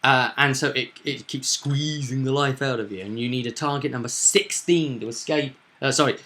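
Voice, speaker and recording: A man with a bright, forward voice talks as a game master recapping a session. He is animated and casual, and tends to repeat phrases as he explains. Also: mid-range voice, normal speed.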